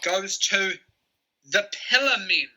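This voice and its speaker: A man's voice: high-pitched, takes it slowly, pushed and bright, poor audio. A man hosting a quiz and reading out results, hesitant and often correcting himself. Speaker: quiz host. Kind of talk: announcing quiz results on a livestream.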